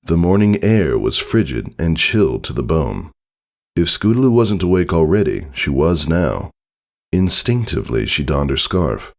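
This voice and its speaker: A man with a low voice narrates a story from a script. There is fry in his tone, and his delivery moves expressively up and down. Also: big pitch swings, normal speed, darkish tone. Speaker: fan fiction reader, narrator.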